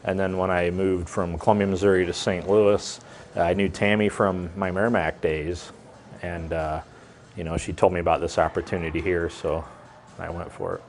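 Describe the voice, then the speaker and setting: Deep-voiced man, reflective and trailing off. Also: little pitch movement, moderate pace. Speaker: working adult student and manager. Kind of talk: documentary interview.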